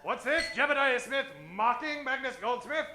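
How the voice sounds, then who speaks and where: A man plays an old-time radio serial announcer, grandiose and suspenseful. His very high, bright voice rings out theatrically in a roomy space. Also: moderate pace, full character voice. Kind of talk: 1940s radio announcing parody. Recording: roomy stage sound, faint music.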